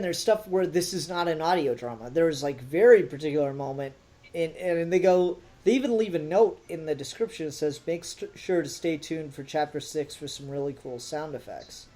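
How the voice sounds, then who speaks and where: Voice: mid-range voice, normal speed, dark tone. This is a podcast host in casual review conversation. The delivery is critical and exasperated, with emphatic stress on the points being made.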